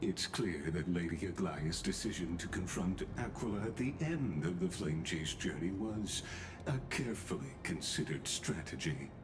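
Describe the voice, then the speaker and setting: A man voicing a courteous, enigmatic scholar in a video game, speaking in a formal, deferential and measured manner. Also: deep voice, expressive, noisy background. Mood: gentle, reflective. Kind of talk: scripted video game voice acting.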